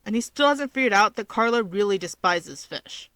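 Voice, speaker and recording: A woman voicing a gruff, cocky young male wizard in a story reading, blunt and sardonic as he teases. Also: mid-range voice, expressive, moderate pace, fairly bright tone, dry recording, lightly acted. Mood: mocking. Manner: blunt, sardonic, self-assured. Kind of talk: fan fiction character reading.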